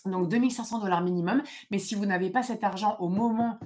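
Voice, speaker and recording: A woman's voice: medium pitch, fast talker, a little mellow. Female live-session host, casual delivery, direct and emphatic adviser, mid-range voice. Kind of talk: casual informal live Q&A, explaining procedures.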